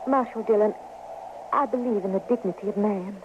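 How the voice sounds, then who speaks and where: A woman's voice: medium pitch, a little fast, natural voice. A woman playing a prim, earnest, morally insistent lady stagecoach passenger in an old-time radio western. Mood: indignant. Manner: polite, principled, insistent. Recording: tinny, noisy old radio recording.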